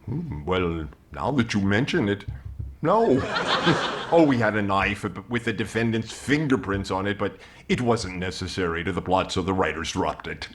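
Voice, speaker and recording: A man's voice: lowish voice, expressive, moderate pace, close to an everyday voice. A man playing a flustered, polite, stammering judge in an old-time radio comedy. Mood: dithering, amiable. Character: flustered, hesitant courtroom judge, easily star-struck. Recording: noisy, with audience laughter behind.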